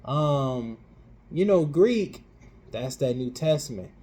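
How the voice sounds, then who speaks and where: A man co-hosting a casual chat, animated and playful, dropping slang as he hypes up his co-host. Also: medium pitch, slow pace, slightly rough. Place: living in Europe.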